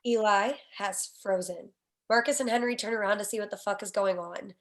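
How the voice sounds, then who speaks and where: A woman's voice: medium pitch, a little fast. A woman reading stage directions in scripted screenplay narration.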